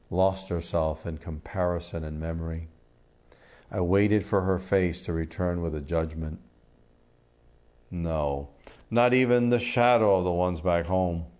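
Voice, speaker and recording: An older Cuban-born man who grew up in Miami, a poet, speaking in a thoughtful, deliberate way. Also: sits low, a little mellow. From Cuba, came to the US as a child and grew up in Miami. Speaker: poet and art critic. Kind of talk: reflective interview talk and poetry reading.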